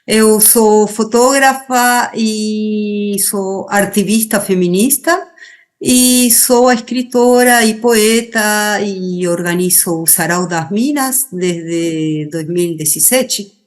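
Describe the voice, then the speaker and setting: Argentine woman, spanish accent, lots of um and restarts, tacks on little tag questions, mid pitch. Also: slow pace. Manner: hesitant, many fillers and restarts, tag questions. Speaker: interview guest, poet and activist. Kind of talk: reflective interview talk.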